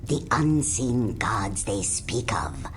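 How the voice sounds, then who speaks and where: A woman voicing an ancient, all-seeing female prophet in a video game, speaking in a breathy, whispery way with slow, solemn pronouncements. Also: extremely low pitch, big pitch swings, full character voice. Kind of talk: video game cutscene voice acting. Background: orchestral game music.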